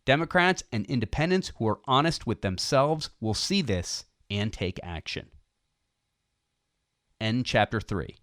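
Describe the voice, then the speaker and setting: A man reading scripted political nonfiction as an audiobook narrator, with emphatic, deliberate phrasing. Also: medium pitch, animated, fairly bright tone, no echo, clean studio sound.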